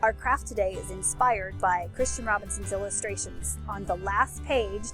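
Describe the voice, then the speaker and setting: A woman's voice: medium pitch, moderate pace. A woman giving a scripted, friendly introduction to a story time video. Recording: music behind the voice.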